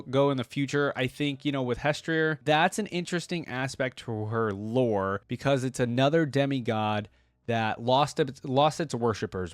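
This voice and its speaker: A man gives casual fan commentary as host of a reaction video. His voice sits at a medium pitch, and he talks informally, repeating words for emphasis. The recording is dry, with little room sound. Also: no room sound.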